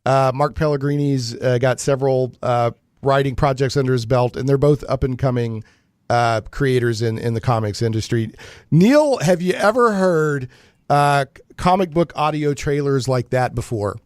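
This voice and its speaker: A man hosts a casual live radio show with a dry, close sound. He talks informally and haltingly, doubling back on his words. His voice sits at an ordinary male pitch. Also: clear, resonant tone, dry recording.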